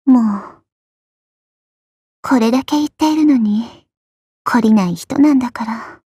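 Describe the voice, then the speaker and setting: A woman playing a petite, elegant, coy and haughty goddess in a mobile game, speaking softly with refined, condescending politeness. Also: a little high, unhurried, no room sound, studio quality. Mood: coy teasing. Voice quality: soft, breathy. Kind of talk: Japanese mobile game character voice lines.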